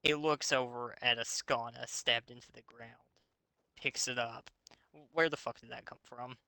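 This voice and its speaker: A man's voice: medium pitch, steady tone, moderate pace, creaky, pushed and bright, dry recording, low-quality recording. A man hosting a reading of fan fiction aloud, breaking off into sarcastic, sweary commentary. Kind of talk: mocking dramatic reading with casual commentary.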